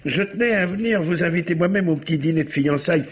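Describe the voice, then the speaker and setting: An actor playing a jovial, chatty older magistrate in a vintage French radio mystery drama, genial and exclamatory. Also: male voice, a little high, fast, slight background noise, natural voice. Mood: delighted, genial. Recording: dull old lo-fi radio recording.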